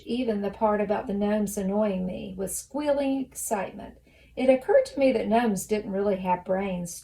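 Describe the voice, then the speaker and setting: A woman reading a children's novel aloud as expressive storybook narration. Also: mid-range voice, rough recording.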